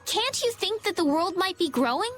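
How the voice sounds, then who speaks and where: A woman's voice: very high voice, animated, moderate pace, soft background music. A woman playing an earnest, idealistic and polite young noblewoman in a video game. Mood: pleading, earnest. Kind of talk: English video game voice acting.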